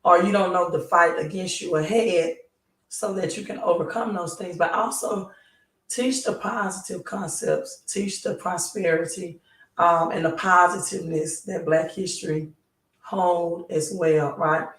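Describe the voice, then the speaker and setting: A hoarse woman hosting a live stream, talking slowly and pausing often to ask "right?". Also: on the low side, fairly bright tone. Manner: slow, halting, repeats "right?". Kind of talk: casual live stream talk. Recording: roomy, distant mic.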